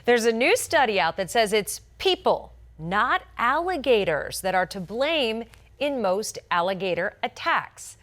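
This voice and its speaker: A woman's voice: fairly high pitch, very animated, measured pace, a bit bright. A woman anchoring a morning TV newscast, reading scripted stories with polished, emphatic delivery. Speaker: news anchor. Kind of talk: scripted morning news broadcast.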